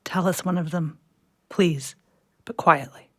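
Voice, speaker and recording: A woman playing a defiant, weary teacher held prisoner in an audio play, hushed and urgent. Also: fairly low pitch, a little slow, no room sound, clean studio sound. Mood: hushed, kind. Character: defiant imprisoned female teacher, wary and weary.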